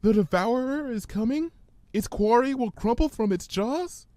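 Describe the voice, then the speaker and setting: A man's voice: very high-pitched, animated, moderate pace, dark tone, no echo, pitched above the performer's own voice. A man voicing a timid, apologetic young female cartoon pony, meek and hesitant with a stammer. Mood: anxious, doubtful.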